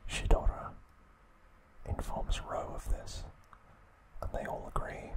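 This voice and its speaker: A man narrating trivia as an ASMR host in a breathy, unvoiced whisper, soft and deliberate.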